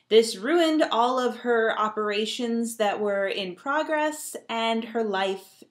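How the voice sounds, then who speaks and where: An American woman giving a casual movie review in a conversational, emphatic way, speaking as the host. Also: medium pitch.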